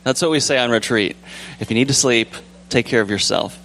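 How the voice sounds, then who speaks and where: A young man with a calm, relaxed voice leading a casual church discussion, rambling gently with fillers and restarts. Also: medium pitch, fairly quick, slight background noise. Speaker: church group leader. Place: church sanctuary with pews.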